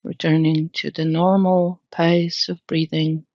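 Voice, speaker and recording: Casting: female meditation guide, calm and unhurried, lowish pitch, long pauses, dry and slightly dull lo-fi recording. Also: no room sound. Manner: calm, soothing, long pauses between phrases.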